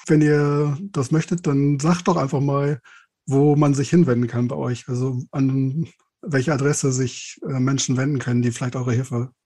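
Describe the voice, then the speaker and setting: A man with a mellow, soft-edged voice of medium pitch asks questions as a podcast interviewer. His style is casual and conversational. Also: level tone, normal speed.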